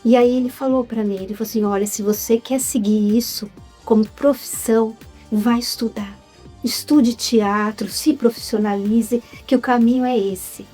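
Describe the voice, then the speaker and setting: A Brazilian woman in her fifties tells her story in a relaxed interview. Her voice sits a little high and stays animated. Soft music plays in the background. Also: normal speed, slightly rough. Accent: Brazilian Portuguese. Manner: lively, addresses the audience as "gente". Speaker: interview guest, actress. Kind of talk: casual interview chat.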